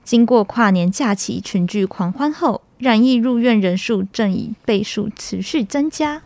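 Higher-pitched young Taiwanese woman anchor. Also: animated, a little slow, a little mellow, no room sound. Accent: Taiwanese Mandarin. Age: young adult. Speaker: student campus news anchor. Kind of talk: scripted news narration.

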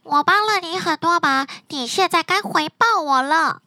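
A woman's voice: extremely high pitch, moderate pace, bright, forceful tone, no echo, studio quality, pitched above the performer's own voice. A woman voicing a clever, helpful little fox in a bedtime story, talking in a coaxing, instructive way. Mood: advising.

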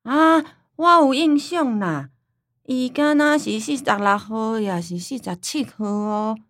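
A woman's voice: fairly high pitch, slow pace, a bit bright, no echo, clean studio sound. An actress voicing a forgetful, teasing old grandmother who grumbles and scolds in a radio drama. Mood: reminiscing. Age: older. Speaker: voice actor.

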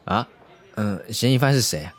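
A man playing a quick-witted, inquisitive junior police detective in a radio drama. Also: lowish voice, lively intonation, faint music behind. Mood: curious, casual.

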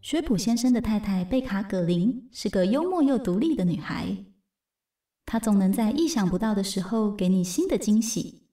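A woman's voice: mid-range voice, expressive, moderate pace, warm tone, soft background music, lightly acted. A woman narrating a radio drama as a composed storyteller. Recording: roomy, slightly distant voice.

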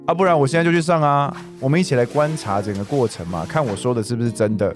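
A man's voice: mid-range voice, fast, over music, close to an everyday voice. A man playing an easygoing, playful young housemate. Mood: playful, unbothered. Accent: Taiwanese Mandarin. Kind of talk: radio drama acting.

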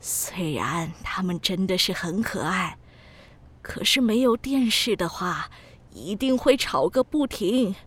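An elderly grandmother in an audio drama. She talks slowly in a husky voice, kindly and chatty, with a fretful streak. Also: mid-range voice, lively intonation. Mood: fretful, pleading. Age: older. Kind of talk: Mandarin audio drama acting.

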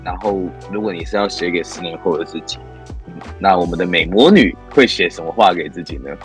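A man's voice: low voice, brisk, faint music behind. A man hosting a casual interview show, encouraging and playful, murmuring agreement often. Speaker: host.

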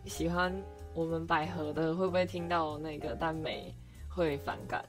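A young woman, co-hosting a relaxed chat, often chiming in to agree and invite listeners. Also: deep voice, normal speed. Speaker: radio drama co-host and voice actor. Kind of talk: casual chat. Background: music.

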